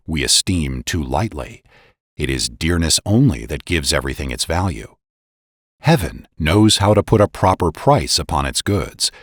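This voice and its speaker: A man's voice: rumbling low, husky, dry recording, studio quality. A man reading scripted historical narration in a solemn, oratorical way with emphatic phrasing. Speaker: podcast narrator and reader.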